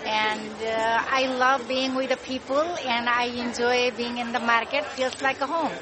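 Higher-voiced Nepali-accented woman amid crowd. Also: steady tone, unhurried. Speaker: honey vendor. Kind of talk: casual interview. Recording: busy crowd behind.

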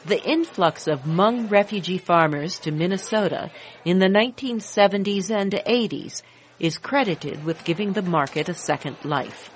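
A woman's voice: lowish voice, animated, moderate pace, a little hiss or hum. A woman with an American accent reading scripted news narration as a reporter.

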